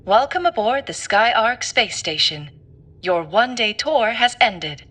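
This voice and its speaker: A woman's voice: fairly high pitch, big pitch swings, unhurried, fairly bright tone, heavily acted. A woman playing a polite space station announcement system in a video game, formal in her delivery. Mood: polite, impersonal. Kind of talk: scripted public announcement in a game.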